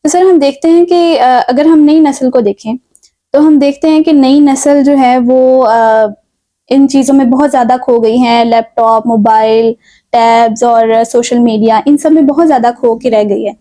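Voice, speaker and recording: A woman's voice: high-pitched, dark tone. A woman with a Pakistani accent hosting a formal talk show interview in a polite, deferential manner.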